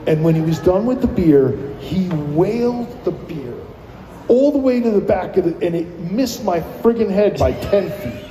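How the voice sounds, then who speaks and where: A man with a deep, mellow tone tells stories in a loose, rambling way, full of fillers and restarts. He is slightly high-pitched for a man. The sound is roomy, with a long reverb tail. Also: normal speed. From New Jersey. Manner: rambling, animated storyteller with many fillers. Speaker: guest musician. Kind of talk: casual radio interview storytelling. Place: live broadcast at a plaza venue. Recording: echoey live room with long reverb.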